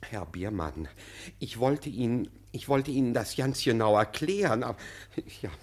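An actor playing a fussy, deferential junior official in a German radio play, stammering with formal politeness and easily exasperated. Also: male voice, medium pitch, lively intonation, moderate pace, lightly acted.

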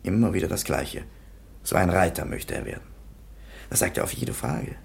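A gravelly, stern police commissioner. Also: male voice, low-pitched, very animated, normal speed, exaggerated voice. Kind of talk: radio play acting.

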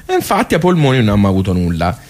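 Low-voiced, rambling Tuscan man as club old-timer. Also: wildly swooping pitch, darkish tone. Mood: amused storytelling. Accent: Tuscan Italian. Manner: rambling, halting, repeating himself, colloquial. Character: forgetful, garrulous old regular of a village social club. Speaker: actor performing a radio theatre monologue. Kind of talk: theatrical vernacular monologue told as casual bar storytelling.

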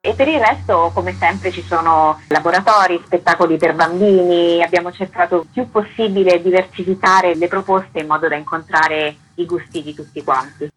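A woman's voice: on the low side, normal speed, hardly any pauses, breathy edge, low-quality recording. A woman speaking conversationally as a guest in a radio interview, an artistic director describing a programme.

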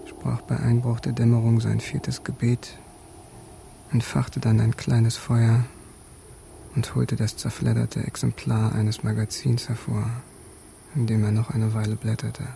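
Flat, gravelly soldier narrator. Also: male voice, medium pitch, slight background noise. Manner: matter-of-fact, clinical. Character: detached army sniper recounting his kills. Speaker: narrator and main character. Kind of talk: first-person radio drama narration.

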